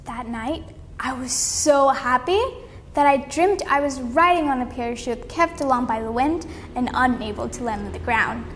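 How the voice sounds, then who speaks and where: A young woman reading a storybook aloud expressively, with deliberate, dramatic phrasing. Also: sits high, fairly bright tone, in a large hall. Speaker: read-aloud competition contestant.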